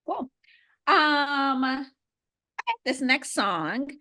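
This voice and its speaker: A woman's voice: high-pitched, animated, drawn-out words, pushed and bright. A woman whose first language is Spanish, co-hosting a podcast in casual chat, talking with many ums and likes. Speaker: podcast co-host.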